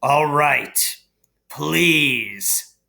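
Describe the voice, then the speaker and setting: Man doing a curt, forceful, irritable caretaker. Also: a little high, expressive, extremely slow, creaky, full character voice. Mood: impatient. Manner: curt, demanding. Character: irritable male caretaker, demanding and impatient. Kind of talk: storybook character voice in read-aloud.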